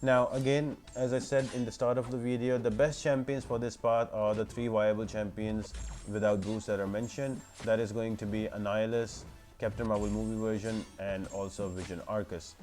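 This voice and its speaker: A man's voice: on the low side, little pitch movement, normal speed, darkish tone, faint music behind. A man giving informal walkthrough commentary for a mobile game, explaining things step by step in an instructional way. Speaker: gaming guide video host.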